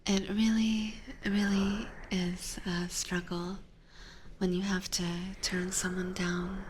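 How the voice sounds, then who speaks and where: A young woman with a Hungarian accent, whispering gently into a binaural mic as she answers chat on an ASMR stream. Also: mid-range voice, little pitch movement, slow pace, slight background noise. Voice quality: soft whisper.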